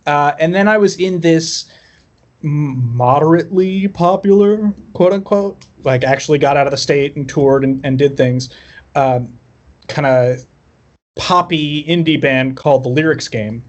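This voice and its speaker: A man's voice: a little high, a little slow, lo-fi sound. A man chatting casually as a musician guest on a podcast, telling personal stories in a wry, self-deprecating way. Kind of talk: casual chat, personal storytelling.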